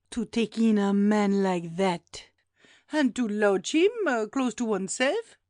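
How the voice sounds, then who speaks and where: A woman's voice: mid-range voice, animated, darkish tone, dry recording, clean studio sound. A woman playing a fretful, exclamatory elderly housekeeper in a dramatised classic audiobook. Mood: grumbling. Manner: exclamatory, gasping, drawn-out lamenting.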